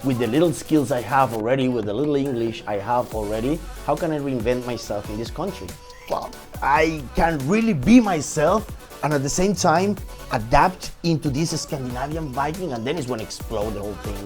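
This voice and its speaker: Animated Venezuelan-accented man telling stories. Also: a little fast, faint music behind. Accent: Venezuelan Spanish. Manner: animated, energetic storytelling with swings in pitch. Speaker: chef guest. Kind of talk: casual podcast interview.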